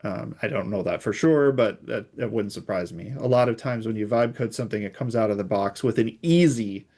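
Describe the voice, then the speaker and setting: A man speaking as an expert guest in an interview, explaining technical security matters in a conversational, measured way. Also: on the low side, darkish tone.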